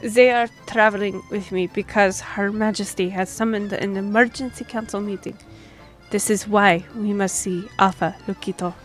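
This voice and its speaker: A woman's voice: mid-range voice, fairly even intonation, normal speed, soft background music, lightly acted. A woman playing a courteous half-elf royal liaison in a fantasy audio drama, speaking formally and explaining things with some hesitation. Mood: polite, formal.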